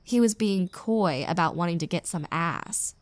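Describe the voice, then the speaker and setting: A woman's voice: lowish voice, expressive, moderate pace, dry recording, natural voice. A woman playing an exasperated single young woman venting about a bad date in an intimate audio roleplay, with sarcastic asides. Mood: bitter, venting. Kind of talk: roleplay audio drama, intimate conversational monologue.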